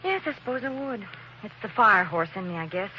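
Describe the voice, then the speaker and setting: A woman playing a spirited young woman with a lively, teasing manner in a 1940s radio drama. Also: medium pitch, brisk, lightly acted. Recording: dull, hissy old radio recording.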